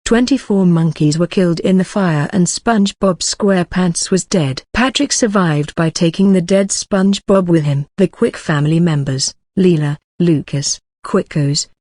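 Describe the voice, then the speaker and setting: News announcer reading emergency bulletins, middle pitch, really dry close sound. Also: normal speed, hardly any pauses, darkish tone, no echo. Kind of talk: scripted emergency news narration.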